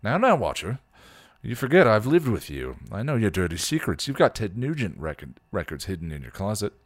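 A man's voice: lowish voice, sing-song, brisk, dry recording, studio quality. A man voicing a sardonic, grieving male punk vampire, slangy and weary in a fan fiction reading. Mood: teasing.

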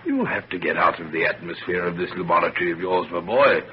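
Kindly older gentleman, worried paternal type, lively low voice, dull vintage radio sound. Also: fast. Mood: gently chiding. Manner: paternal, formal delivery. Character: concerned, kindly older gentleman, father of the scientist. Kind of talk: old-time radio serial acting. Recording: dull, noisy old radio recording.

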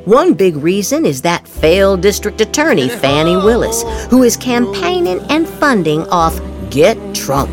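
A woman's voice: mid-range voice, big pitch swings, measured pace, hardly any pauses, over music. A woman podcast host reading political social media posts aloud dramatically, with theatrical, exaggerated inflection.

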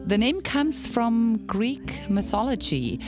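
A woman radio host who asks a guest friendly, brisk interview questions. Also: on the high side, sing-song, over music.

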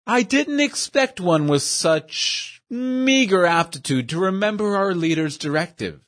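A man voicing a portly, pompous gentleman who speaks in grand, over-formal phrases. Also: high voice, animated, measured pace, pitched above the performer's own voice.

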